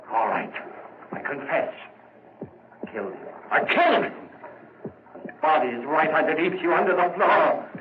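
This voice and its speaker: An actor playing a nervous, guilt-ridden murderer who stammers and grows agitated, in old-time radio drama style. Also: male voice, fairly high pitch. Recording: muffled old radio recording, loud noise.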